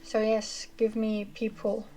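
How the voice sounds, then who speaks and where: A woman streamer chatting casually with her viewers as she wraps up a livestream, rambling with fillers and a self-described monotonous voice. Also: mid-range voice, slow pace, faint music behind, rough recording. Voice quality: monotonous reading voice. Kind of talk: casual chat ending a livestream.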